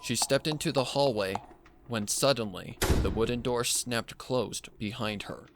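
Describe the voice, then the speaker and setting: A man's voice: medium pitch, unhurried, over music. A man reading a dramatic scripted story as narrator, with noise behind him. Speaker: narrator reading fan fiction.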